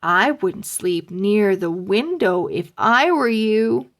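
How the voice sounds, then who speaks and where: Give me a woman playing a spunky young girl from a storybook, shrill and exclaiming, drawn out slowly. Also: animated. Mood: spooked, indignant.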